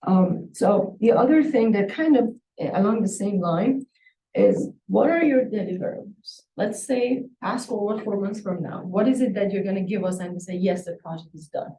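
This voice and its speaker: A woman with a soft, mellow tone speaks as an instructor reviewing student work, pressing with questions. Her voice comes from some distance away in a reverberant room. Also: medium pitch, moderate pace. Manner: probing, asking follow-up questions. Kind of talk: spoken question-and-feedback session. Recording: echoey room, distant microphone.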